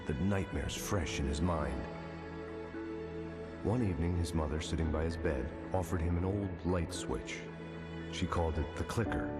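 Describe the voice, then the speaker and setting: An actor playing a grave storyteller, giving measured, solemn narration of a dark thriller in a video game. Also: male voice, a bass voice. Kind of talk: scripted third-person narration. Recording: loud music under the voice.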